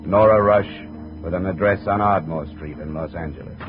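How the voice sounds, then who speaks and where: Low-voiced hard-boiled American radio detective played by a man. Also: moderate pace, close to an everyday voice. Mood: terse, narrating. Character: wry, hard-boiled male insurance investigator. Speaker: lead actor and narrator of a radio detective series. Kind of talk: 1950s radio noir acting and narration. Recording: dull vintage radio recording with music.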